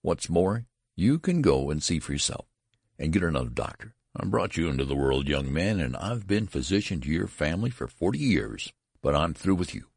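A man's voice: low-pitched, big pitch swings, moderate pace, creaky, slightly rough, dry recording, clean studio sound, full character voice. A man voicing an offended older family doctor, curt and severe, in a narrated story. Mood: cutting anger.

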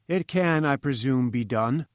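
A booming, overbearing old scientist, as a male reader puts him on, raised in pitch and volume above the narration. He lectures and dismisses with curt impatience. The recording is dry and close. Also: unhurried, no room sound, natural voice. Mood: domineering. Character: imperious, irascible older male scientist.

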